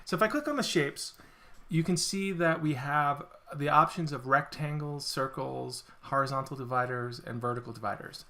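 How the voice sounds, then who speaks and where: Man, software walkthrough, fairly high voice, a bit hoarse, relaxed explaining. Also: moderate pace. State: slightly losing his voice, clearing throat. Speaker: software product presenter. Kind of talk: screencast software demo.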